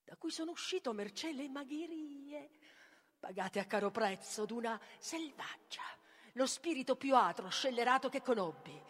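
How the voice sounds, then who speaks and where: A woman's voice: high voice, lively intonation, moderate pace. A woman playing a bitter, betrayed Greek hero in a declamatory tragic stage monologue, moving between whispers and outcries. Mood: cursing. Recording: reverberant hall with long echo.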